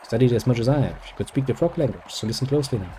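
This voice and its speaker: A man's voice: medium pitch, expressive, rapid-fire, mellow, soft background music. A man reading game dialogue aloud and adding dry, sarcastic jokes as he plays. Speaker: gameplay video host.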